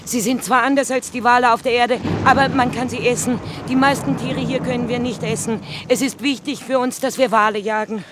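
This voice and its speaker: A composed colony nurse played by a woman in a vintage radio drama, heard through clear background noise. She makes short, plain statements without any ornament. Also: on the high side, fairly even intonation, a little fast, few pauses, close to an everyday voice. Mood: matter-of-fact. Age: 30s. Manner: matter-of-fact, unadorned, short statements. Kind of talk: 1950s German radio play acting. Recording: noisy vintage radio recording.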